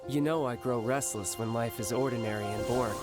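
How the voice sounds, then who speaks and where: A man's voice: mid-range voice, brisk, close to an everyday voice. An actor playing a brash, restless young male warrior in a game, taunting and theatrical. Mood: wistful. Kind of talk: game character voice acting. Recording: voice under loud music. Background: loud game music.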